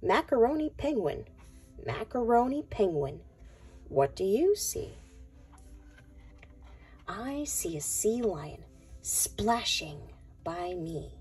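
Woman, children's read-aloud, very slow sing-song pacing, soft mellow tone, faint music behind. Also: mid-range voice, sing-song, breathy edge. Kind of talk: picture book read-aloud for young children.

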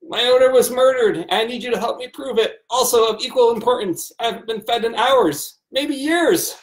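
A man's voice: very high-pitched, moderate pace, reverberant, low-quality recording. A demanding, haughty tomcat from a storybook, as a man acts him out. He talks pompously and dramatically, throwing out insults, and sits a little way back from the mic. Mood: demanding, urgent. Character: demanding, insulting, haughty male talking tabby cat. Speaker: reader of the cat's part. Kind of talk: amateur dramatic book reading.

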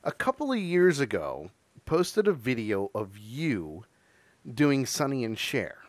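A man's voice: mid-range voice, huge pitch swings, slow, dry recording. An American man in his thirties hosting a casual interview, close on a studio microphone. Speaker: show host, interviewer. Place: small dark indoor studio. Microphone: boom-arm studio mic and headphones.

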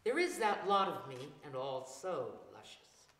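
Older female poet, 60s, unhurried measured reading, lowish voice, recorded in a reverberant room. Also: big pitch swings, a bit bright. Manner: deliberate, measured phrasing with pauses between lines. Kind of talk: live poetry reading. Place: church meeting room with tiled floor, indoors. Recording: roomy, reverberant hall sound.

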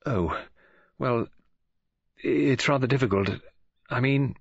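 A man voicing a nervous, apologetic woman of about twenty in an audiobook, breathy, hesitant and stammering. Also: medium pitch, takes it slowly, no room sound, muffled recording, exaggerated voice.

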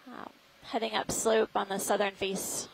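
A woman scientist giving explanatory live commentary on a deep-sea dive. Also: little pitch movement, normal speed, gravelly. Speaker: expedition scientist narrating dive. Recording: faint background noise.

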